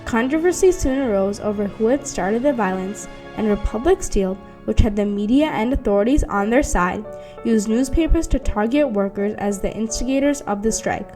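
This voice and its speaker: A woman's voice: a little high, lively intonation, normal speed, mellow. Woman narrating a documentary over music. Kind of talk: scripted narration. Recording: music under the voice. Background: background music.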